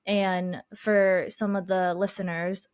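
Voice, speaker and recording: A woman guest telling her life story in an interview, reflective and unhurried, whose first language is Chinese. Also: medium pitch, flat delivery, darkish tone, clean tone, no echo, studio quality. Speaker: podcast guest, life coach.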